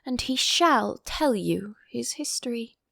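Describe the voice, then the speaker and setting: A woman's voice: on the high side, lively intonation, takes it slowly, creaky, sharp tone, dry recording, clean studio sound, full character voice. A woman voicing an imperious, quarrelsome storybook Queen with a commanding, curt, emphatic delivery. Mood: brisk, commanding. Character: imperious, quarrelsome Queen who shouts threats of beheading.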